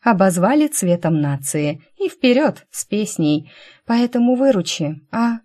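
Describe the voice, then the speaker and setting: A woman's voice: mid-range voice, very animated, a little slow, dark tone, voice pushed up. A woman voicing a bubbly, persuasive young twin sister, breezy and emotional, in an audiobook novel. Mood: pleading.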